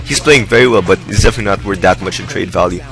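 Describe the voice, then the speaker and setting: A young man talks through his gameplay in a low, gravelly voice that has gone hoarse from a long session. His commentary is loose and rambling but upbeat, and faint music plays underneath. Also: very fast, fairly bright tone. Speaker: gaming video host. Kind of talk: casual game commentary. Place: indoors, hot room.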